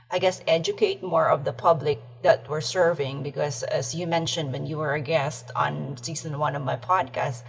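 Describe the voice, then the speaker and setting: Low husky woman with a Filipino accent. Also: highs cut off. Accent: Filipino English. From Philippines. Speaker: podcast guest. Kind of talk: casual podcast interview.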